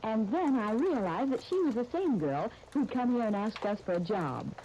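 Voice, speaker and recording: An actress playing an earnest, tactful young dress designer in a 1930s radio soap opera, speaking in a polite, gently persuasive way. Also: female voice, fairly high pitch, lively intonation, moderate pace. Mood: earnest, recounting. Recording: muffled, hissy 1939 radio broadcast recording.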